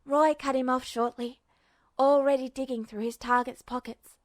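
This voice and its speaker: A woman's voice: sits high, steady tone, normal speed, no room sound, clean studio sound. A woman reading scripted fiction as an audiobook-style narrator. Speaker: podfic narrator.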